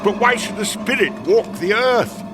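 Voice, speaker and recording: An actor playing a skeptical, fearful old miser in a theatrical radio play, fussy and prone to stammering. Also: male voice, sits high, animated, normal speed. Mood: anxious pleading. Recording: music under the voice.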